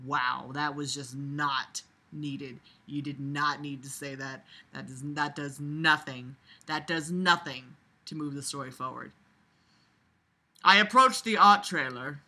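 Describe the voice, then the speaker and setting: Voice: medium pitch, takes it slowly. A person reading aloud and breaking off for casual, sarcastic running commentary, blunt, sweary and emphatic. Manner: animated, emphatic, jumps from muttering to loud outbursts. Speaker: reader reviewing a book aloud.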